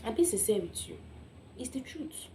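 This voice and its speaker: A woman's voice: fairly high pitch, sing-song, normal speed, mellow, slight background noise. A young Nigerian woman speaking as an informal motivational speaker, telling personal stories emphatically, acting out quotes and often checking in with listeners.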